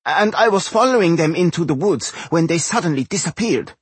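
A man voicing a nosy, insistent gnome in a storybook, pestering in a husky voice. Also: fairly high pitch, expressive, fairly quick, no room sound, voice pushed up. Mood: reporting. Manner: pestering, questioning.

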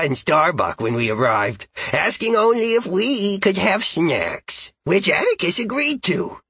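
Man voicing an excitable, bright, raspy talking dog. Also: a little high, no room sound, exaggerated voice. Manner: chatty, goofy, exuberant. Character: excitable, playful male dog narrating his adventures. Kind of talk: first-person audiobook narration.

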